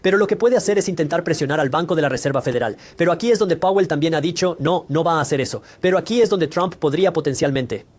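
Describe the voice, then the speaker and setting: A man's voice: a little high, lively intonation, fast, few pauses, a little mellow. A man presenting a scripted educational explainer, speaking directly to the listener and laying things out step by step. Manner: didactic, explains step by step with examples. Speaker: host of a personal finance explainer. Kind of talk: scripted educational narration, addressing the viewer directly.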